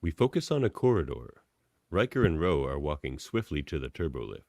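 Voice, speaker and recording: A man's voice: extremely low pitch, very animated, a little fast, vocal fry, darkish tone, dry recording, clean studio sound. A man reading scripted stage directions as the narrator of a radio play.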